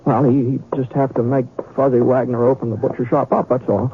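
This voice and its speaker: An actor playing a homespun western storyteller, narrating a radio drama in a halting, conversational way. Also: male voice, mid-range voice, little pitch movement, natural voice. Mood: folksy, wry. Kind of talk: old-time radio storytelling. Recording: tinny radio sound with crowd noise.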